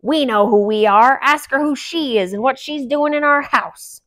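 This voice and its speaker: A woman voicing a grouchy, gruff old male dwarf who speaks in a sour, blunt way with rough grammar. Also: sits high, moderate pace, fairly bright tone, pitched above the performer's own voice. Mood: rude.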